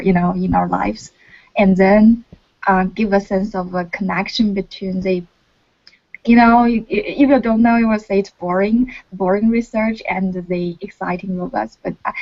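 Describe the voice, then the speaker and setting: A woman from China, a PhD student, talks in a casual online meeting. She is earnest and halting, often pausing on fillers. The call audio sounds muffled. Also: mid-range voice, gravelly, a little mellow.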